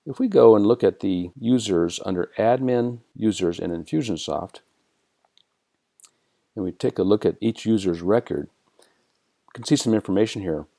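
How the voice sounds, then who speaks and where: American man, dark low voice, tutorial narration, methodical step-by-step delivery. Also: moderate pace, gravelly, long pauses. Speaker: software tutorial presenter. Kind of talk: instructional screencast narration.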